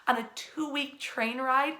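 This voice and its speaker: Bright, expressive American woman telling history stories. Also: high-pitched. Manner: emphatic, theatrical phrasing with deliberate pauses. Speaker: storyteller, history narrator. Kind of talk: dramatic bedtime-style history storytelling.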